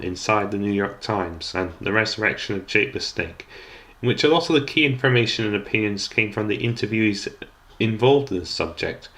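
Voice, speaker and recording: Young male narrator, slightly low voice, formal student-style scripted commentary, occasional stumbles over words. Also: a bit bright. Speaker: student documentary maker.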